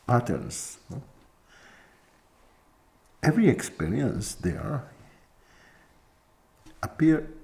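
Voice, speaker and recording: Slow, low-voiced man teaching softly. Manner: slow, reflective, with pauses and soft laughs. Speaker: spiritual teacher. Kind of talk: spiritual talk to an audience.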